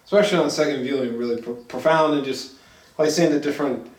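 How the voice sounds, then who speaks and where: A man chatting casually through a movie review, rambling and halting, with frequent swearing. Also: mid-range voice. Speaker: film review host. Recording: roomy echo, distant from mic.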